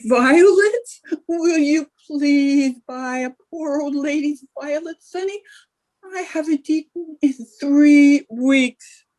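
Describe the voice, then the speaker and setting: A woman's voice: high-pitched, slow pace, darkish tone, low-quality recording. A woman voicing a pleading, pitiful old flower seller in a radio comedy, wheedling and melodramatic.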